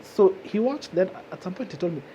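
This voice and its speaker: A young Kenyan woman telling a personal story casually as a guest, expressive and switching between languages as she acts out remembered remarks. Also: medium pitch, brisk, slight background noise, low-quality recording. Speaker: podcast guest. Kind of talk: casual personal storytelling, mixing English and Swahili.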